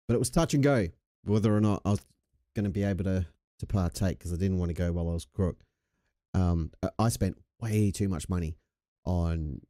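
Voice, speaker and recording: Deep, mellow-voiced Australian man chatting casually. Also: no room sound, studio quality. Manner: laid-back, jokey, slangy and sweary. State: recovering from a chest infection with a lingering cough. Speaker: livestream host. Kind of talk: casual livestream chat.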